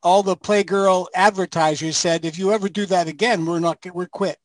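An older man calls in to a talk show and spins jokey anecdotes with a chuckle. His voice sits high for a man. He sounds like he's on a remote call mic. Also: fairly even intonation, moderate pace, a little hiss or hum. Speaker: guest.

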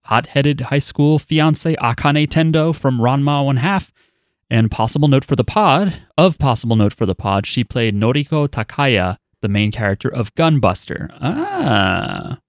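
A man's voice: medium pitch, big pitch swings, a little slow, dry recording, studio quality. A man hosting a podcast, chatting casually and reading out listener email with offhand, wry asides. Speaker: podcast host.

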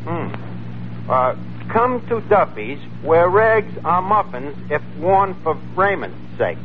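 An actor playing a brash, slangy, word-mangling tavern manager with a New York accent in 1940s radio comedy. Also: male voice, sits high, very animated, slow, full character voice. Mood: breezy, bragging. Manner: slangy, mangles words and grammar. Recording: tinny, noisy old radio recording.

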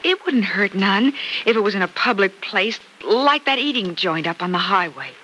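Brash, sharp-voiced, slangy American woman. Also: mid-range voice, animated, moderate pace, poor audio. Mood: yearning, pointed. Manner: slangy, blunt. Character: brash, slangy working woman, yearning and teasing. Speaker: radio drama actress. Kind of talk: classic American radio drama acting. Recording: old radio recording, faint noise.

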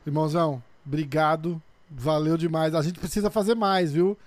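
Apresentador brasileiro animado, voz aguda. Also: male voice, fairly high pitch, fairly even intonation, normal speed, no room sound. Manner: enthusiastic, slangy. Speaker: host. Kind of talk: casual interview chat.

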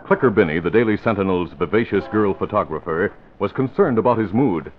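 A man narrating an old-time radio crime serial in a measured, dramatic style. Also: lowish voice, lively intonation, lightly acted. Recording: tinny, noisy vintage radio recording.

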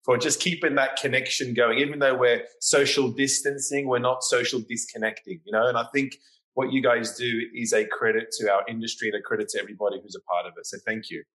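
A man's voice: mid-range voice, steady tone, fast, fairly bright tone, low-quality recording. A man with an Australian accent, a hairdresser guest telling stories in a casual interview, often adding "you know".

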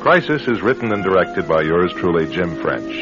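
A man announcing a radio drama in a measured, theatrical, scripted style. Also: low voice, animated, music in the background. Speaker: announcer, writer and director. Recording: dull lo-fi radio recording.

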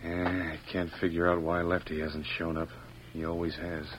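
A man's voice: deep voice, little pitch movement, moderate pace, lightly acted. A male actor playing a surly condemned Old West bandit in an American radio drama, curt and sullen. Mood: surly, resigned. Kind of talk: old-time radio western acting. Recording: dull, noisy vintage radio recording.

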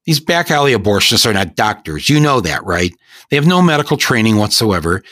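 A man's voice: fairly low pitch, big pitch swings, brisk, fairly bright tone, dry recording, clean studio sound, pitched above the performer's own voice. Man playing a stern scolding doctor. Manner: scolding, emphatic. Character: stern male hospital doctor, lecturing.

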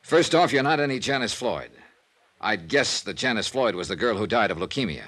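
An actor playing a tough, world-weary American insurance investigator in a 1950s radio drama, clipped, hardboiled and deadpan. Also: male voice, on the low side, fairly quick. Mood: firm, probing. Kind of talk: 1950s radio detective acting and first-person narration. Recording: vintage radio recording with music.

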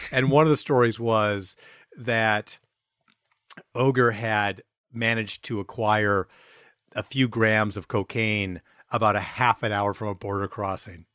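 A man with a fairly low voice chats as a podcast host, telling stories in a measured, amused way. The recording is dry, with little room sound. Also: fairly even intonation, a bit bright, clear, resonant tone, no echo. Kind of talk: casual chat and anecdotes.

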